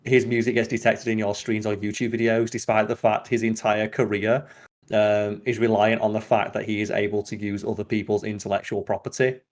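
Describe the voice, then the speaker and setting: A man chatting casually as a podcast host, opinionated and given to sweary, animated rants. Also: on the low side, brisk.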